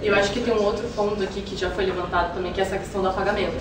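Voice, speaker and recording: A woman's voice: mid-range voice, fairly even intonation, rapid-fire. A woman giving earnest, explanatory answers as an actress being interviewed. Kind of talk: thoughtful conversational interview answers. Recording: roomy, distant mic, clear noise.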